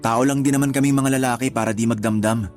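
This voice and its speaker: A man's voice: mid-range voice, steady tone, quick pace, darkish tone, soft background music, natural voice. A man with a Filipino accent acting a weary, hurt live-in partner in a radio drama, earnest and reproachful. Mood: downcast.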